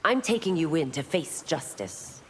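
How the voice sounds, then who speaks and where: Low-voiced stern fantasy constable woman. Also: animated, a little mellow, slight background noise. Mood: stern, firm. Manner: measured, formal, firm. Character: stern, loyal female police constable of a fantasy realm. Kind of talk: video game dialogue acting. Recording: long hall-like reverb.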